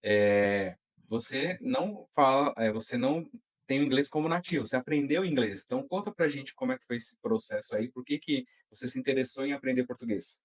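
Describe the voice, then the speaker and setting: A man hosting an interview podcast and chatting casually with his guest, relaxed with many fillers. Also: medium pitch, fairly quick. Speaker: podcast host.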